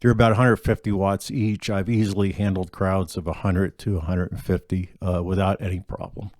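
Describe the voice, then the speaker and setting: A middle-aged man talks casually with a deeper, mellow voice. He rambles with lots of ums and restarts. The sound is dry, with no room to it. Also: little pitch movement, fairly quick, dry recording. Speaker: gigging acoustic musician. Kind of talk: casual gear rundown.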